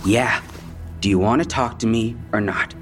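A man's voice: fairly low pitch, moderate pace, fairly bright tone, natural voice. An actor in an audio drama playing a defensive young male street robber, talking in clipped slang. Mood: guarded. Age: young adult. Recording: music bed behind the voice.

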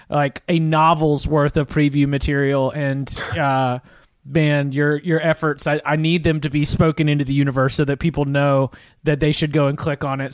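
A man's voice: a little high, no echo. A man hosting a sports podcast in an upbeat, casual way, setting up topics for his co-host. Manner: upbeat, hypes the show.

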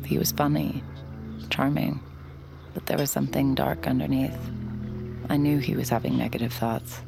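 A woman's voice: rumbling low, creaky, darkish tone, heavily acted. An actress playing a wry, guarded young woman with a secret and an anxious streak, in audio drama dialogue and narration. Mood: reflective.

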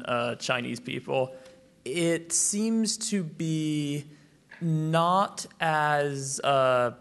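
A young male student asks a panel a question from the audience. He talks slowly and picks his words with care, stopping to rephrase. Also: medium pitch, fairly bright tone, clean tone. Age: young adult. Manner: halting, careful phrasing. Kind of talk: public Q&A question.

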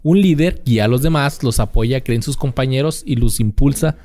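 A man's voice: mid-range voice, moderate pace, dark tone. A young man with a Mexican Spanish accent co-hosting a casual chat, reading facts aloud between laid-back, slangy banter. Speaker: podcast co-host.

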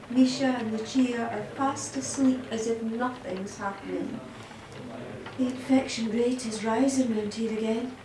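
Audio play: actress as organised, persuasive, composed woman, far from the mic over clear noise. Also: a little high. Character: composed, organised, civic-minded woman, quietly calculating. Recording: roomy, distant, noisy background.

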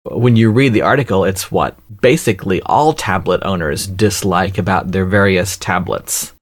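Low-voiced wry man, podcast host. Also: normal speed. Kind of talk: casual tech chat.

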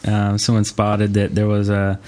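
A man's voice: lowish voice, monotone, normal speed, darkish tone. A man in casual podcast chat as a host, with an easygoing, slangy way of talking. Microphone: Skype call.

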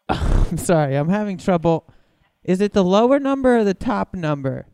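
A man's voice: high-pitched, very animated, normal speed, dark tone, clean tone, no echo, studio quality. A man bantering casually as a co-host of a prank-call podcast, in a jokey way. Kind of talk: casual chat and prank calling.

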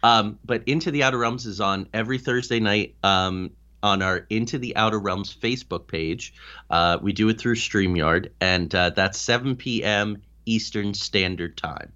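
Low-voiced man telling stories, dull recording. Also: moderate pace, sharp tone. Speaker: guest, paranormal investigator. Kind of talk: conversational interview storytelling.

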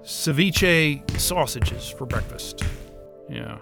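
A man's voice: a little high, extremely slow, vocal fry, music in the background, exaggerated voice. An actor playing a frustrated, sarcastic man trapped at a remote station, sardonic and exasperated, in a naturalistic audio drama. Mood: sullen, sarcastic.

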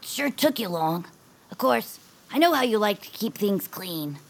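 Gravelly, reluctant cartoon creature. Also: female voice, medium pitch, big pitch swings, normal speed. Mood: dry, modest. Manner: hesitant, unassuming, grumbling. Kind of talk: family audio fiction acting.

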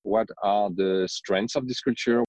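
A man's voice: lowish voice, steady tone, unhurried, darkish tone, no room sound. A man from France with a strong French accent, a company executive, thoughtful and careful in his English, speaking as a podcast guest. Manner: thoughtful, careful phrasing with non-native grammar. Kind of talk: podcast interview, remote call.

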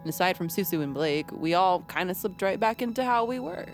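A woman's voice: on the low side, animated, fairly quick, faint music behind. A woman playing a slangy, affectionate young woman with a casual, wry manner in an audio drama. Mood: candid.

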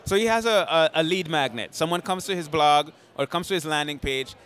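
A man's voice: a little high, expressive, brisk, fairly bright tone, smooth. A man hosting a podcast, chatting casually and enthusiastically, explaining ideas with many fillers and restarts, his voice a little strained. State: slightly strained voice after an event. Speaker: podcast host. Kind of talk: casual conversation.

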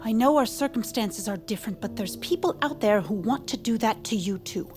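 An actress playing a faded rich divorcée in an audio drama, dramatic, chummy and confiding. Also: female voice, on the high side, lively intonation, a little fast, over music, lightly acted. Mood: conspiratorial, wounded. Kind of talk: audio fiction drama acting.

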